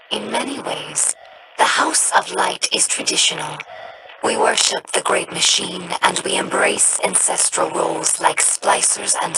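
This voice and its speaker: A woman voicing a young female alien scribe in a game, earnest and devout, speaking in a measured, reverent way. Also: lowish voice. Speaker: game character. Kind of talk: game voice lines. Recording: noisy game audio rip.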